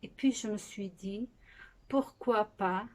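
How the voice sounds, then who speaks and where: A woman from Portugal speaking French with a Portuguese accent, hosting her knitting podcast in a casual monologue that often pauses and restarts. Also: mid-range voice. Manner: unhurried, with frequent pauses and restarts.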